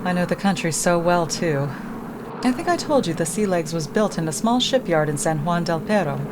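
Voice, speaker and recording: Widow, female actor: slightly low voice, earnest, overdone assurances, old radio drama, vehicle drone behind. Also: lively intonation, moderate pace, noisy background, lightly acted. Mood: earnest, gushing. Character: widow, earnest, gushing and ingratiating female suspect. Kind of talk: 1950s radio drama acting.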